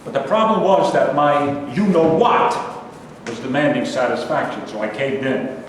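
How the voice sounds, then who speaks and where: A man's voice: mid-range voice, normal speed, lightly acted. An actor playing a bitter, self-pitying man, ranting defensively and ruefully in a staged play reading. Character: bitter, self-pitying male loser recounting his failed love life. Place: live staged reading in a reverberant room. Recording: very echoey hall, distant mic, faint noise.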